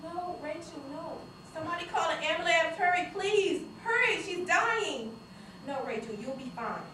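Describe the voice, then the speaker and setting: An actress reading the part of a high school senior whose friend was shot, at a table read. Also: female voice, moderate pace. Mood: desperate. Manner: pleading, tearful line reading. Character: teen girl pleading over her dying friend. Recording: echoey room from far off with noise.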